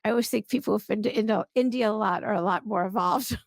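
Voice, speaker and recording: A woman hosting a podcast, chatting casually and informally in a halting, conversational way. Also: medium pitch, creaky, dry recording, clean studio sound. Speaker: podcast host.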